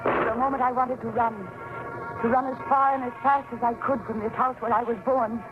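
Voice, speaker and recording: An actress playing a bitter, desperate, haunted daughter in a 1940s radio drama, intense and dramatic. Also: female voice, a little high, fairly even intonation, brisk, over loud music, close to an everyday voice. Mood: brooding, haunted. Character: bitter, desperate adult daughter haunted by her father's death. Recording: muffled, noisy 1940s radio recording.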